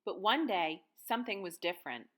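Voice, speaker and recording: A woman's voice: mid-range voice, sing-song, moderate pace, a bit bright. An American woman in her thirties, a teacher reading and talking to young children in simple, guiding phrases. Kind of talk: picture-book read-aloud and lesson for children.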